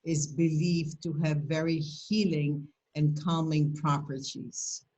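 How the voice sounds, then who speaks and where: A woman's voice: low voice, steady tone, slow, darkish tone, husky, highs cut off. An older woman from Poland with a Polish accent, presenting and answering questions in a webinar over a video call, speaking in an emphatic, motivational way.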